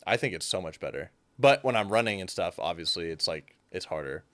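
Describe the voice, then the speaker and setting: A man's voice: sits low, brisk, no echo. A young man, a fitness coach guest, chatting casually and animatedly, with frequent fillers. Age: young adult. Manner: animated, fills speech with "like". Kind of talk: casual chat.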